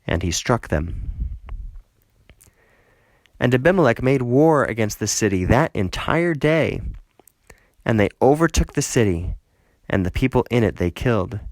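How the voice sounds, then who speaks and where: A man narrating a scripted Bible reading in a measured, solemn way. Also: mid-range voice, no echo.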